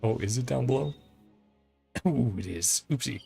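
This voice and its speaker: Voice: mid-range voice, unhurried, vocal fry, warm tone. A game streamer giving casual, rambling live commentary in a chatty way, often chuckling. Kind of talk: casual livestream gameplay commentary. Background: game music.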